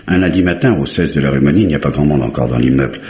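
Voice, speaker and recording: An actor playing a dutiful, matter-of-fact police subordinate who reports in an orderly, deferential way in an old radio drama. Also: male voice, a bass voice, rapid-fire, a little mellow. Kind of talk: mid-century French radio drama acting. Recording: dull, roomy vintage radio recording.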